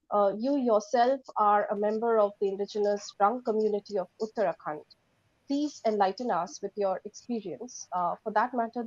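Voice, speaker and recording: A woman moderates a panel, introducing speakers and asking questions in a formal, courteous way. Her pitch is medium. The audio is dull and lo-fi, and the sound is dry. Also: a little mellow, dry recording.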